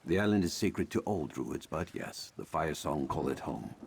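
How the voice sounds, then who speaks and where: An actor playing a learned, courteous older druid in a fantasy video game, speaking in a formal, measured, concerned way. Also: male voice, expressive, full character voice. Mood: grave, explaining. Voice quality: deep, gravelly.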